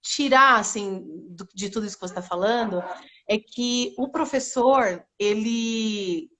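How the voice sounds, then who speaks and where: Brazilian woman host, fairly high voice, hesitant and searching for words. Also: a little slow, sharp tone. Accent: Brazilian Portuguese. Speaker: live-stream host. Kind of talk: casual live-stream interview. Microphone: video call.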